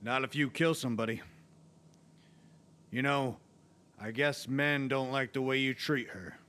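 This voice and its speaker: A man's voice: medium pitch, a little slow, pushed and bright. A man acting in a Western radio play as a firm, authoritative marshal who admonishes and keeps the peace. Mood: stern, warning. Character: firm, level-headed Old West marshal.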